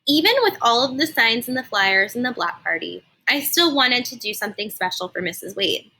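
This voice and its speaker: A woman reading a children's story aloud like a teacher, animated and explaining things simply for young listeners. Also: fairly high pitch, fairly quick, slightly rough, low-quality recording. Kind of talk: expressive children's read-aloud with explanatory asides.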